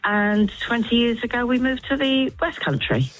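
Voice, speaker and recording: A woman's voice: fairly high pitch, big pitch swings, moderate pace, rough recording. A friendly woman in her sixties from South Wales, calling in to a radio show and laughing easily. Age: 60s. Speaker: caller. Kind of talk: phone-in chat.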